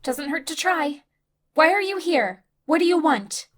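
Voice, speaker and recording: A woman playing a brisk, resolute space crew member in a science-fiction audio drama, decisive and matter-of-fact. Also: high voice, lively intonation, fairly bright tone. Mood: urgent, decisive.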